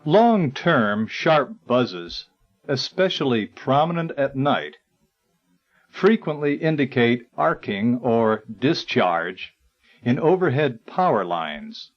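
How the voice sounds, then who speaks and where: Male narrator for an instructional guide: formal, unhurried, widely varied intonation, dull 1980s tape sound. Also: medium pitch, sing-song. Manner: formal, measured, articulate delivery. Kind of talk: scripted educational narration.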